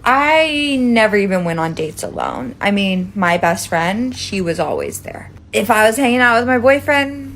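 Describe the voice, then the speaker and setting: A woman's voice: fairly high pitch, a little hiss or hum. A woman in her twenties with an American accent, telling a personal story casually and emphatically. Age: 20s. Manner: dramatic, emphatic, peppered with "like" and swearing. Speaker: storytime video creator.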